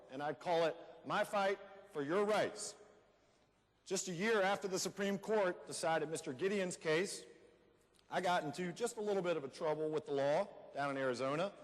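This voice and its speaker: A man's voice: sits high, moderate pace, lightly acted. A man playing a folksy fellow who recounts his teenage troubles in a conversational readers theater monologue, putting questions to his listeners. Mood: chatty, reminiscing. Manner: conversational, addresses audience with rhetorical questions. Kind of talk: first-person storytelling monologue in a readers theater. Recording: echoey hall with long reverb.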